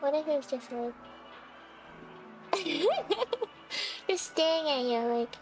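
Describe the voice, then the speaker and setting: A woman's voice: high voice, sing-song, extremely slow, faint music behind. A woman live streamer chatting casually with her viewers as she plays a game, in a playful, giggly, teasing way.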